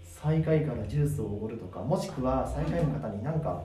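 A woman's voice: rumbling low, expressive, quick pace, dark tone. A woman co-hosting a casual game show among voice actors, speaking politely and a little hesitantly and self-effacingly. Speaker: co-host and contestant on a voice actors' web show. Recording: distant mic, roomy echo, faint noise.

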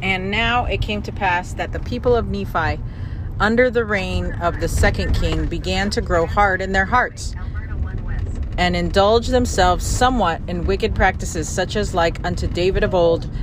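A woman with a plain, measured delivery, reading scripture aloud and chatting casually as a parent leading a family study. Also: mid-range voice. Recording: clear vehicle noise.

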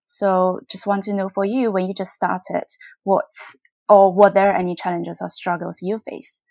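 A woman hosting an interview, polite and eager, asking questions in a slightly halting way. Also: medium pitch, little pitch movement, creaky, darkish tone, dry recording. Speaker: host, newcomer to public relations. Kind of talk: conversational interview.

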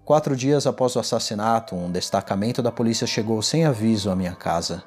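A man playing an unhinged, gloating condemned murderer who recounts his crime in a deliberate, theatrical gothic horror reading. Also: lowish voice, lightly acted. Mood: smug, confident. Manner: theatrical, deliberate, swinging from hushed to exultant.

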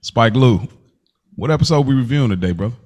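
A man's voice: mid-range voice, lively intonation, fast, a little mellow. A man hosting a podcast in a casual, easygoing, slangy chat style. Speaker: podcast host.

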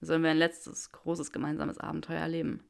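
A woman's voice: low-pitched, completely flat delivery, a little fast, gravelly. A woman voicing an awkward young male wizard who speaks earnestly and stammers through a tender confession. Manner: stammering, earnest, self-mocking.

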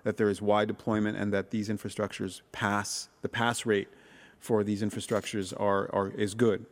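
A man who is a law professor talking as a podcast guest, halting with many ums and restarts. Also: lowish voice, little pitch movement, big-room sound. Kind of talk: casual conversation.

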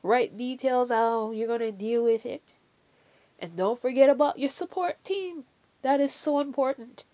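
A woman's voice: on the high side, a little slow, mellow. A woman with a Jamaican accent giving a casual, earnest faith talk. She speaks emphatically, repeats key phrases for weight, and often adds "you know" and "all right".

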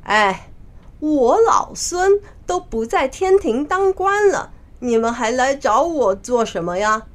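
A woman voicing a proud, hot-tempered male monkey king in a children's story, loud, scornful and boastful. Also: a little high, animated, normal speed, few pauses, natural voice. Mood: indignant.

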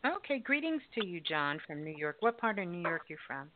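A woman's voice: lowish voice, sing-song, fairly quick, noisy background. A woman hosting a call-in radio show, teasing and playful, stretching her words for emphasis. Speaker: radio host. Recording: tinny phone-like sound.

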